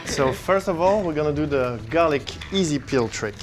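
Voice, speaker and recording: A man's voice: fairly high pitch, normal speed, over music. Man chef explaining cooking steps. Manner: relaxed, explains steps simply. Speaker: head chef. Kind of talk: cooking demonstration. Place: kitchen.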